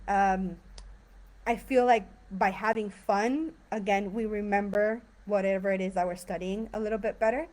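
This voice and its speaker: A woman's voice: mid-range voice, moderate pace. A young woman from California teaching English, talking casually on a live stream with many fillers. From San Diego and Tijuana. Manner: chatty, relaxed, many fillers.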